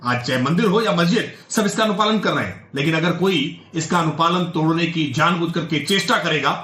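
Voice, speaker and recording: Male, sharp raised voice, formal, emphatic spokesman, roomy echo. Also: a little high, a little fast. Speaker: political party spokesman. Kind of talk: prepared political statement. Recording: echoey room, faint music.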